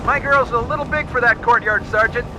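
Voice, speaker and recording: A man playing a confident soldier in a video game, talking in forceful, clipped military radio style. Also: very high voice, a little fast, noisy background. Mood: brisk confident. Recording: loud game music and gunfire behind.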